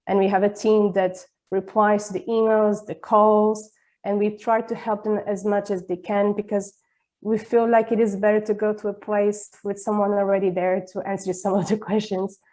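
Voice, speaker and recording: A woman presenting a project in a webinar, speaking haltingly with repeated words. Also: medium pitch, little pitch movement, dark tone, low-quality recording. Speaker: presenter of a digital nomad project.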